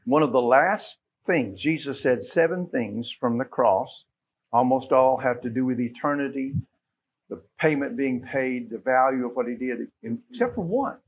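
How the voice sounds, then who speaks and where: Husky American pastor, middle-aged man. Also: mid-range voice, animated, normal speed. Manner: folksy, conversational, asks listeners questions. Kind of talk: informal church announcements from the pulpit. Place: small church sanctuary, at pulpit. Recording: dull, muffled church sound recording.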